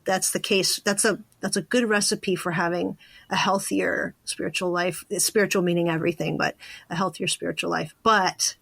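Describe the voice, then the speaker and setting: A woman's voice: on the low side, fairly even intonation, normal speed, vocal fry, fairly bright tone, breathy edge, no echo. A woman hosting a podcast in casual interview chat, with an informal, emphatic way of talking. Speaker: podcast host.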